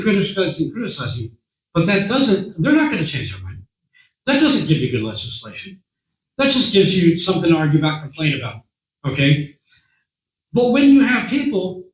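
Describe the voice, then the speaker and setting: Hoarse man speaking to a meeting. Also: sits high, reverberant, rough recording. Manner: conversational, repeats words, clears throat often. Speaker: guest speaker. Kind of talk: talk to a meeting. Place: meeting room.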